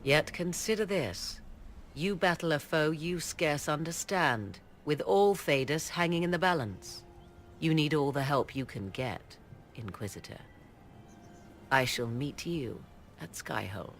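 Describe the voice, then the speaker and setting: A woman playing a haughty, enigmatic court sorceress in a video game, speaking in a formal, deliberate, aloof manner. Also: low voice, slight background noise. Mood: imperious. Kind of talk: dramatic game voice acting.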